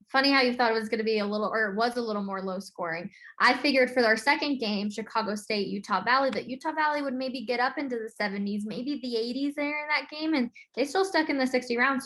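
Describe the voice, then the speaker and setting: Higher-voiced American woman hosting sports talk. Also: fast talker, few pauses, fairly bright tone. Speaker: sports podcast host. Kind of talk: conversational sports recap.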